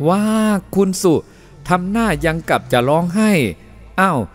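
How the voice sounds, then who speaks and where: A man reading a novel aloud, voicing an excitable young male helper who shouts directions while taking a photo. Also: high-pitched, measured pace, long reverb tail, faint music behind, voice pushed up. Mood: eager shouting. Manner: shouting, eager.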